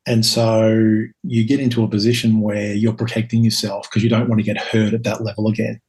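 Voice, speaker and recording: A Scottish man in his fifties, a guest telling his life story in a reflective, hesitant way with many pauses and ums. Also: on the low side, level tone, a little mellow. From Scotland. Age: 50s.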